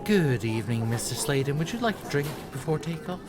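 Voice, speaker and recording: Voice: mid-range voice, big pitch swings, a little fast, noisy background, close to an everyday voice. An actor playing a polite, timid female flight attendant in an audio drama.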